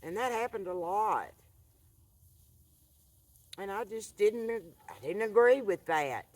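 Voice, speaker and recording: Slow-talking older woman. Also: mid-range voice, lively intonation, no room sound. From rural Appalachian North Carolina. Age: 70s. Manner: earnest, soft-spoken, halting with restarts. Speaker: vlogger. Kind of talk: reflective monologue to camera. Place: outdoors by a fire pit.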